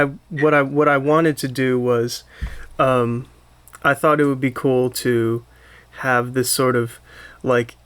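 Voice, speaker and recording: A man's voice: medium pitch, a bit bright, clean tone. A man hosting a podcast, talking casually as he interviews his guest. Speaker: podcast host.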